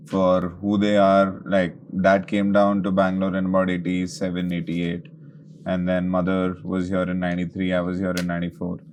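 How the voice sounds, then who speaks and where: A man's voice: low-pitched, a little mellow, a little hiss or hum. A man in his thirties from Bengaluru with an Indian English accent, chatting as a comedian guest and slipping into Hindi phrases. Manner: chatty, drops into Hindi phrases, self-deprecating. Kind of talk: casual interview chat.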